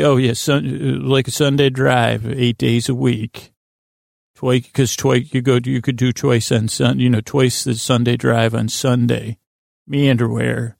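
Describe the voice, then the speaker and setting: Creaky-voiced man rambling soothingly. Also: medium pitch, darkish tone, no echo, clean studio sound. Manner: meandering, halting, often trails off and repeats himself; lulling, soothing, whimsical. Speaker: podcast host. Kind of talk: rambling bedtime monologue to lull listeners to sleep.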